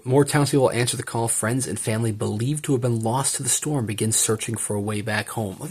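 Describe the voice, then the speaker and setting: A man's voice: medium pitch, slightly rough. A man giving a casual unboxing commentary, enthusiastic and rambling as he thinks aloud. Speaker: book collector presenting an unboxing.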